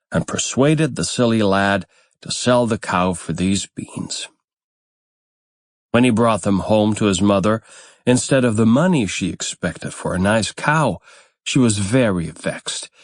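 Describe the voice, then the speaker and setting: A man reads a fairytale as an audiobook narrator. His voice sits fairly low and he takes his time, with very slow, deliberate phrasing. The sound is dry and close, with no room around it. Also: no echo.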